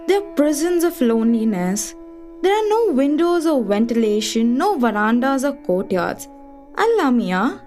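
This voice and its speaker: High, yearning homesick woman. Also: a little mellow. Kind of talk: radio play monologue of a journal.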